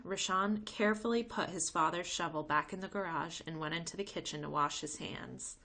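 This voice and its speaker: Casting: female storybook reader for young kids, fairly low voice, gravelly creak. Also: sharp tone. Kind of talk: read-aloud narration for young children.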